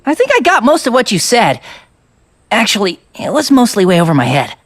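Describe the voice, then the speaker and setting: Naive boy soldier, high voice, extremely animated, exclamatory, video game. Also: brisk. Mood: confused. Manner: exclamatory, puzzled.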